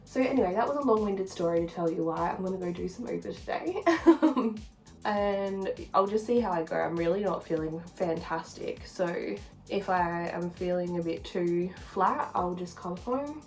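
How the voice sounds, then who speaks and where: A woman's voice: on the low side, expressive, moderate pace, darkish tone. A woman chatting informally to camera in a personal vlog, rambling and confiding in the listener. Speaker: vlogger. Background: background music.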